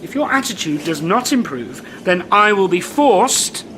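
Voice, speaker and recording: A man's voice: high-pitched, sing-song, measured pace, pushed and bright, a little hiss or hum, exaggerated voice, poor audio. A man with a British accent playing a flustered, pompous, exasperated supermarket manager in a comedic audio drama.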